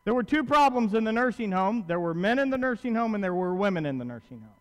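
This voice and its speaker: High-pitched man preaching in a reverberant hall. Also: very animated, quick pace. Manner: conversational and emphatic, with rhetorical questions and repetition. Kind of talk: sermon. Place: large church auditorium, at pulpit on stage. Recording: long hall reverb from stage.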